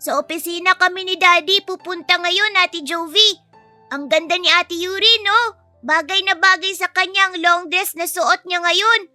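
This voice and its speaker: A woman's voice: very high-pitched, fairly even intonation, normal speed, pushed and bright, no echo, soft background music, voice pushed up, full character voice. A woman voicing a cheeky, sweet, outspoken young child in an audio story, with a chirpy, teasing way of talking. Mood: cheerful, curious. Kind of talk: acted audio story dialogue.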